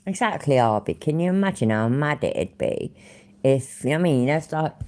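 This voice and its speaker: A woman's voice: extremely low pitch, lively intonation, a little fast, a little mellow. A woman with an English Midlands accent, chatting casually to her live-stream audience; she rambles, swears freely and laughs often.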